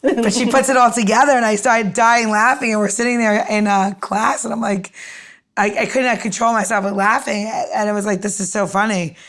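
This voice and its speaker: A woman's voice: on the high side, steady tone, a little fast, fairly bright tone. A woman co-hosting a podcast, chatting casually in an informal, rambling, anecdotal way. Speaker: podcast co-host. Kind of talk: casual chat.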